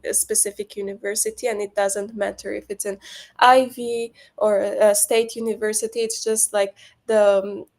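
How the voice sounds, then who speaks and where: A woman's voice: medium pitch, darkish tone. A young woman from Lebanon speaking as a guest on a video-call webinar, chatting casually with many fillers and restarts. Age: young adult. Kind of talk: webinar interview.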